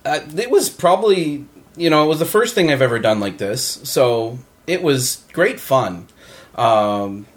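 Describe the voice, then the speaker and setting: Informal man chatting at a round table. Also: medium pitch. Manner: informal, rambling, hedging with "you know". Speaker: amateur voice actor, round-table guest. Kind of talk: casual round-table chat.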